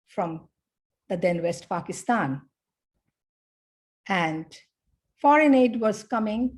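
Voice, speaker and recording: This is a middle-aged woman from Bangladesh delivering an academic conference talk. Her delivery is unhurried and measured, broken into short phrases with pauses in between. Her voice sits at an ordinary middle pitch. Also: a little mellow. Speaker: academic speaker.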